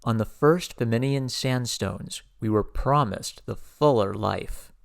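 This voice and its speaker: A man giving a dramatic poetry reading with a gravelly voice and measured, declamatory phrasing with emphatic stresses. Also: mid-range voice. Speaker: poetry reader.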